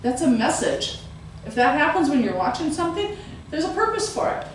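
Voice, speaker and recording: A middle-aged woman preaching in a small church, her strong, carrying voice pitched a little high. She is picked up from across the room with no microphone, so the sound is distant and heavily echoey, with a faint hiss underneath. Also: fairly quick. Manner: loud voice that carries, animated and gesturing. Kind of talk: sermon. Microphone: far camera, no microphone.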